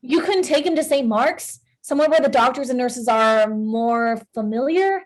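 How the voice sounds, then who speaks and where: A woman acts a worried wife in a hospital drama table read. Her voice is high, and she questions everything with anxious distrust. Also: normal speed, lightly acted. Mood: suspicious. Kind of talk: screenplay table read acting.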